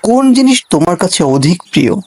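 A man's voice: high voice, very animated, quick pace. A man playing a solemn, formal royal guard in disguise in a cartoon fable. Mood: solemn questioning.

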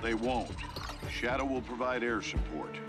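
A man playing a brisk, determined military commander briefing his forces in a video game, firm and clipped. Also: medium pitch, natural voice. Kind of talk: cinematic military game dialogue. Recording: loud music and vehicle noise behind.

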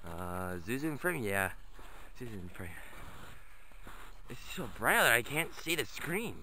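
A man's voice: medium pitch, very animated, measured pace, sharp tone, slight background noise. A man talking to his dogs in a sing-song, coaxing way while filming a walk through snowy woods on a handheld camera. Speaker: vlogger walking his dogs.

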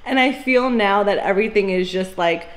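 A woman's voice: medium pitch, moderate pace. A young woman, effusive and giggly, talking casually and exclaiming as a patient during treatment. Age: young adult. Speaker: chiropractic patient. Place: indoor chiropractic treatment room. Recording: echoey room with background noise.

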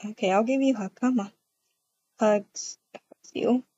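A woman's voice: a little high, measured pace, dark tone, slightly rough, dull audio. A woman speaking casually as she improvises a game roleplay and chats to viewers. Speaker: video creator acting out a roleplay.

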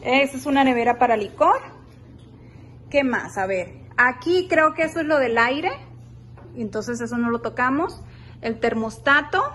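Chatty lady doing a house tour on her phone, slightly high voice, faint room hiss behind. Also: fairly bright tone. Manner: chatty, enthusiastic, addresses viewers as girls. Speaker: vlogger giving a house tour. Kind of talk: casual house-tour vlog. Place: indoors in a furnished beach rental house. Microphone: phone held while walking.

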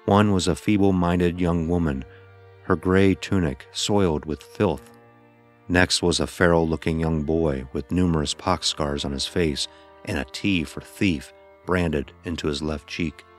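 Low male voice, grave horror narrator, measured, dramatic, faint music behind. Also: fairly even intonation. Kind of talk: dramatic first-person horror story narration.

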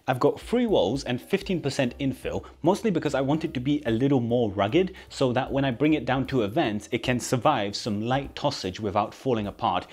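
A man in his thirties talks through a hands-on build with an average-pitched voice. His delivery is casual and informal, like a hobbyist tutorial, with a self-deprecating streak. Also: breathy edge. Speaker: maker presenting a DIY build.

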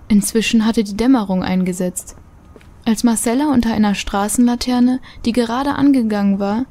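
A woman's voice: a little high, dark tone. A woman narrating a scripted audio drama as its storyteller.

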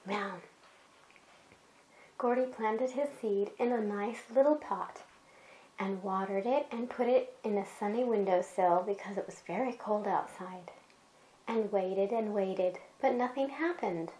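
A woman telling a children's bedtime story in an unhurried, expressive way, as if to a child beside her. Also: mid-range voice, roomy sound, poor audio.

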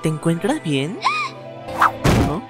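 A man voicing a playful, teasing boy ghost in a fan dub, swinging from low murmurs to loud lines. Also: sing-song, takes it slowly. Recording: faint anime music behind.

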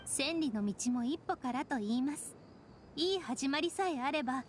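A woman voicing a composed, courteous young female strategist in an anime game, speaking formally and gently. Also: high-pitched, animated, moderate pace, a little hiss or hum. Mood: calm encouraging. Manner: soft-spoken, courteous, formal. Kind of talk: Japanese anime game voice acting.

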